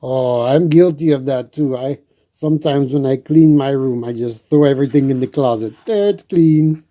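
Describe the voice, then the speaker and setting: A man's voice: mid-range voice, normal speed, dark tone. A man hosting storytime, reading a children's book and adding folksy, chatty asides. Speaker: storyteller and host.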